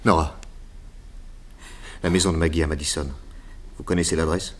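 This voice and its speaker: A man's voice: very deep voice, fairly even intonation, normal speed. An actor playing an earnest, indignant male detective hero in an old radio crime serial. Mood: urgent, protective.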